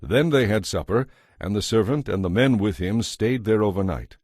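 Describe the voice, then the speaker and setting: Low-voiced man narrating scripture. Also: lively intonation, moderate pace, darkish tone, dry recording, clean studio sound. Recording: music behind the voice.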